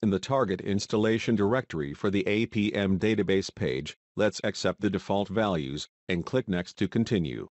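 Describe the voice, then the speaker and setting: A man reading a scripted instructional narration in a measured, step-by-step manner, as a software tutorial voice-over. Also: sits low.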